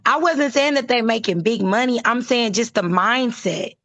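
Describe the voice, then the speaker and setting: A young woman from Texas with a hoarse voice, chatting casually and haltingly as a livestream caller. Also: fairly high pitch, fairly bright tone, no echo. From Houston, Texas, USA. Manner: halting, frequent use of like. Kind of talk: casual chat.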